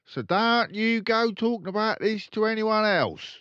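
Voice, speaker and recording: A man voicing a crooked, boastful, bullying middle-aged father in a children's storybook, loud and emphatic. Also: high voice, lively intonation, a little slow, clean tone, no room sound, lo-fi sound, voice pushed up. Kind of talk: children's storybook read aloud.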